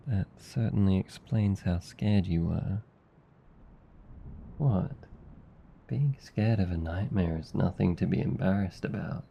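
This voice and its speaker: Casting: Australian male actor for a tender comforting demon, deep and unhurried, husky, ASMR roleplay, slightly muffled recording. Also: full character voice. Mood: concerned, reassuring. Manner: soft-spoken, slow, reassuring, rambling explanations. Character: gentle, caring male sleep paralysis demon. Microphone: close ASMR microphone.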